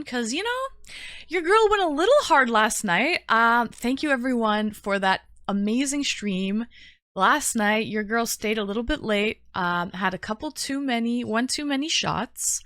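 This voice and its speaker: A woman's voice: fairly high pitch, pushed and bright, dry recording, clean studio sound. A woman with a Canadian accent hosting a livestream in casual, chatty talk, informally addressing her viewers and often repeating her phrases. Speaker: livestream host.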